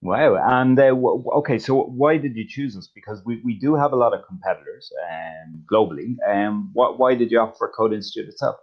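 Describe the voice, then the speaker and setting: A man's voice: lowish voice, fairly quick, hardly any pauses. A man interviewing a student, asking casually with some stumbling restarts. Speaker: interviewer for the school. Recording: muffled, dull sound.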